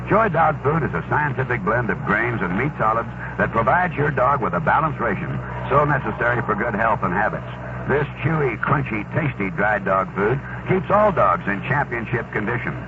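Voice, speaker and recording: A man's voice: mid-range voice, recorded from a distance. A man working as a baseball announcer on 1970s radio, calling play and reading sponsor copy. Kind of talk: 1970s live sports commentary and sponsor read. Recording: dull, noisy 1970s AM radio broadcast.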